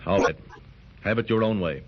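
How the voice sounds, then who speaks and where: An actor playing a steady, heroic lord who speaks calmly, firmly and plainly, in 1930s radio acting on a dull old recording. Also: male voice, fairly low pitch, moderate pace, breathy edge. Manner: calm, firm, plain-spoken. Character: steady heroic jungle lord in evening dress. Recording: dull, faintly hissy 1930s transcription.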